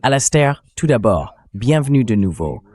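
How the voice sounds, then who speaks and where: A man reading a translated voice-over as the host of an interview show, in a measured, scripted-sounding way. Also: medium pitch, big pitch swings, a little mellow.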